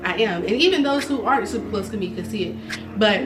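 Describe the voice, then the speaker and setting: A woman's voice: mid-range voice, lively intonation, brisk. A woman in her twenties talks candidly in a vlog, blunt and sassy, swearing freely. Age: 20s. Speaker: vlogger. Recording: roomy, mic at a distance, music behind.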